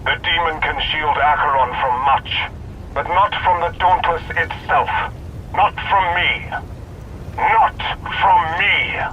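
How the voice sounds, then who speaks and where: A man playing a dutiful, fervent warship officer in a video game, formal, militant and forceful. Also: fairly high pitch, slow pace, muffled recording, a little hiss or hum. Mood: fierce. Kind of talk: video game cinematic acting.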